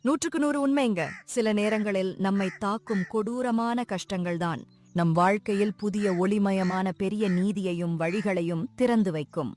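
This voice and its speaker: A woman's voice: medium pitch, moderate pace, a little mellow, natural voice. A woman playing a kindly, devout sister-in-law in a dramatised moral story. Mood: assuring.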